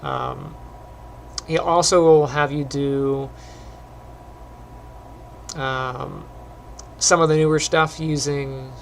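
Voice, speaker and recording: A middle-aged man talks through his animation work at a desk mic, slightly higher voice with a gravelly, phlegmy edge. He rambles in a relaxed way, full of ums, and keeps clearing his throat. A faint hiss sits under the recording. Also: extremely slow, long pauses. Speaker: animation teacher. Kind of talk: casual screen-recorded tutorial chat.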